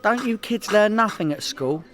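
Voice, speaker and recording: An actor as a bragging small-time London crook in a radio comedy. His voice sits fairly high for a man, and he talks in a matey, defensive way, forever selling himself as somebody. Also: animated, moderate pace, over music. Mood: boastful. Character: boastful, hopeless petty criminal spinning tall tales. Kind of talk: comedy radio play acting.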